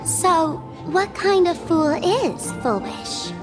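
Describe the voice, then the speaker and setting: A woman's voice: very high voice, animated, takes it slowly, heavily acted. A woman voicing a mischievous, chaos-loving young trickster in a game, teasing and theatrical. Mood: curious. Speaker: game character. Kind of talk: game dialogue. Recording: game audio with music underneath.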